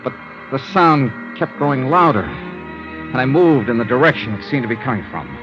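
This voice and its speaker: An actor playing a hard-boiled investigator who tells his own ordeal in a deliberate, intense 1950s radio drama style. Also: medium pitch, animated, close to an everyday voice. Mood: grim, dramatic. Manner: deliberate, intense, theatrical storytelling. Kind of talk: 1950s radio drama acting, dramatic first-person narration. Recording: dull vintage radio recording with prominent music.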